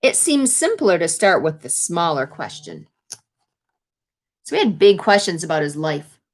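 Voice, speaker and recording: A woman's voice: on the low side, very animated, a little slow. Woman teacher reading aloud to children. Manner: explains simply, as to young children. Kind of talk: children's read-aloud with explanatory asides.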